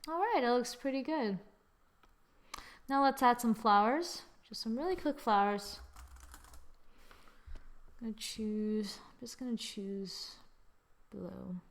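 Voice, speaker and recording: A woman hosting a casual drawing software tutorial, thinking aloud informally and often murmuring as she works. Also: on the high side, takes it slowly, long pauses. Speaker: tutorial host. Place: screen-recording voice-over.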